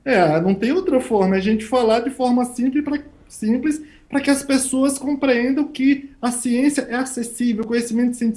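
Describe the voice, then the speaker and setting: A man's voice: extremely high pitch, normal speed, few pauses, darkish tone. Guest scientist, male, 30s, Northeastern Brazilian accent, plain-spoken and emphatic. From Bahia backlands, Brazil. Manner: plain-spoken, emphatic, talks in short stacked phrases. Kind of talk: interview answers.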